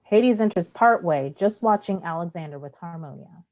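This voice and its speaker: A woman's voice: fairly low pitch, expressive, moderate pace, darkish tone, poor audio. A woman narrating stage directions in a scripted play reading.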